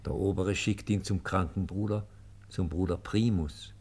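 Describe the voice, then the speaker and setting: Low-voiced man narrating a radio play. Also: fairly even intonation, a little mellow, husky. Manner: solemn, measured storytelling.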